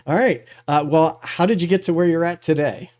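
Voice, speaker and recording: A man's voice: a little high, brisk, darkish tone. A man hosting a show in a casual, informal and enthusiastic way. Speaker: podcast host.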